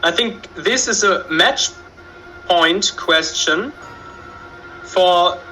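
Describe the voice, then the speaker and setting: A man reading trivia questions on a casual show, his voice high and strikingly bright. He pauses often on fillers as he works through each answer. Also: faint music behind, rough recording. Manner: hesitant, filler-heavy reading aloud. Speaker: question reader.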